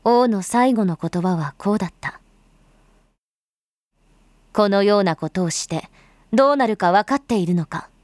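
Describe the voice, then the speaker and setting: A woman's voice: medium pitch, expressive, moderate pace, pauses often, a little mellow, no room sound, clean studio sound, natural voice. A woman performing as a grave storyteller, giving scripted dramatic narration in solemn, literary phrasing. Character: grave storyteller recounting a bloody uprising.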